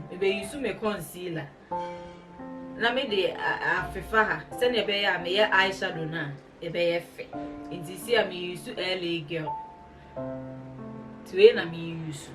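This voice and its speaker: A woman's voice: lowish voice, recorded from a distance. A young Ghanaian woman from the Ashanti region talking casually through a makeup tutorial, mixing in English words. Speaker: beauty vlogger. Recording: voice a bit off-mic, music underneath.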